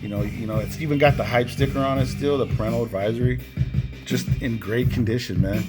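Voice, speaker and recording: A man's voice: medium pitch, steady tone, brisk. A man hosting a casual show-and-tell of a collection, enthusiastic and often punctuating his phrases with "man". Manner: enthusiastic, repeatedly tacks "man" onto phrases. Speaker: video host showing cassette collection. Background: music with guitar.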